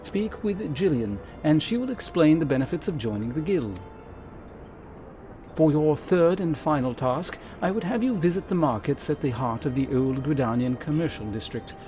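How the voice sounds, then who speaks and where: A man voicing a courteous, formal innkeeper who mentors adventurers in a fantasy game, speaking in measured, archaic phrases. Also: fairly high pitch, animated, mellow, soft background music, voice pushed up, close to an everyday voice. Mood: instructive, formal. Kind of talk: read-aloud game character dialogue.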